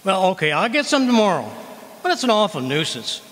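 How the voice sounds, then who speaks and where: A man's voice: high-pitched, sing-song, fairly quick, fairly bright tone. A man playing a bumbling, boastful husband in old-time radio comedy, with folksy, stammering talk. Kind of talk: 1940s radio comedy acting. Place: live stage performance in a community hall. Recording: noisy hall with long reverb.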